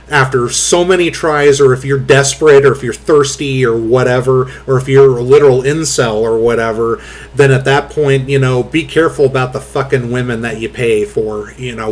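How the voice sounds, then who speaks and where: A man hosting a casual commentary chat, rambling through fillers with blunt, crude talk and a booming voice. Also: a little hiss or hum. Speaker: host.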